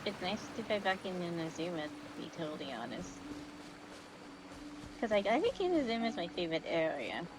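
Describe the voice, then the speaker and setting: A woman's voice: lowish voice, big pitch swings, brisk, background noise. A young woman streamer chatting casually while playing, rambling and correcting herself as she goes. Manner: rambling, trails off, self-correcting. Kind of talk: casual gameplay commentary. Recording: game music loud behind.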